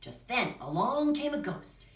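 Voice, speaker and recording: A woman's voice: a little high, sing-song, measured pace. A woman drama teacher presenting and narrating for children's theater, in instructional talk and storytelling. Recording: echoey room, distant microphone.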